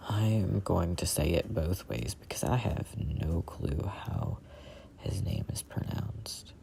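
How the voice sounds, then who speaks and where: Speaker in their twenties, whispered ASMR book reading, very creaky, drawn-out pace, halting delivery. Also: sits low, expressive, background noise. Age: 20s. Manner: halting, deliberate phrasing with stumbles over words. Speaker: reader of a self-help book. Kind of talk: whispered ASMR read-along.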